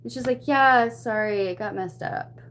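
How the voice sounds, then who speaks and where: A woman chatting casually to stream viewers, retelling a personal story in an animated, expressive way. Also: on the high side, normal speed. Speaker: livestream host.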